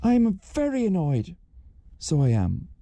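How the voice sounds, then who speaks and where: A man acts out an elderly Irish grandad, confused and pedantic. The voice is very mellow and dull-edged, with a lively rise and fall and a dry, close sound. He rambles hesitantly and repeats himself. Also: sits high, slow pace, no echo, heavily acted. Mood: pedantic, baffled. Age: older. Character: doddering, pedantic old grandad, a confused senior citizen.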